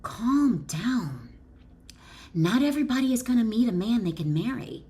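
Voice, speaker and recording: A woman's voice: a little high, sing-song, normal speed, dark tone, pitched above the performer's own voice. A woman voicing a scolding, sassy inner critic in a folksy, dismissive, nagging manner during a book reading.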